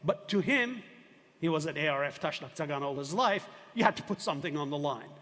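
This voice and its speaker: Older male professor, fairly high voice, emphatic lecture delivery with rhetorical questions, hall reverb. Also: animated, a little fast, a bit bright. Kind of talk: university lecture.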